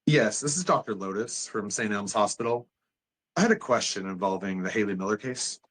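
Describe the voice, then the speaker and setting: A man's voice: lowish voice, a little fast, fairly bright tone. A man playing an authoritative psychiatric doctor in a dramatic table read, questioning and insistent. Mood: businesslike.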